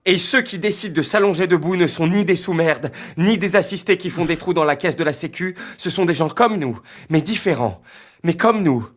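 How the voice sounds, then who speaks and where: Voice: medium pitch, expressive, moderate pace, slight background noise, lightly acted. An actor playing an awkward, exasperated dance teacher in a comedy cartoon, stammering and falsely earnest. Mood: preachy, awkward. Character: awkward, exasperated dance teacher feigning open-mindedness, animated parody. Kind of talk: comedic animated sketch dialogue.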